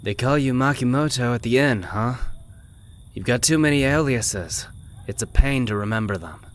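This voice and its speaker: An actor playing a nosy, teasing middle-aged smoker in an anime fan dub, with a wry, laid-back, probing manner. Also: male voice, medium pitch, lively intonation, moderate pace, lightly acted. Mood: prying.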